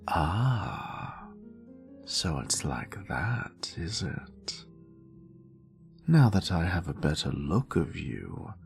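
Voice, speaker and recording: Casting: grumpy, haughty British male wizard played by a male actor for audio roleplay; low, creaky voice, sardonic and theatrical. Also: full character voice. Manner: theatrical, haughty, drawling, dry sarcasm. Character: grumpy, sassy male wizard, exiled former professor. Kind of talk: scripted character monologue, audio roleplay.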